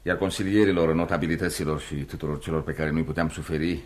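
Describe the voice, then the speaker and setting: An ambitious young war veteran in a radio play. His voice is very low and warm, and he talks in a wry, reflective way, like a provincial clerk eager to get on. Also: steady tone. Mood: bitter, reflective. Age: young adult. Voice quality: warm, deep. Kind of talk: Romanian radio theatre acting.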